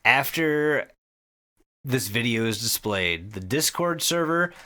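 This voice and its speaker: Bright, animated man recapping a story. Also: mid-range voice, slow. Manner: excited, profane, conversational. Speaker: podcast host. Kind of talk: casual storytelling recap among friends.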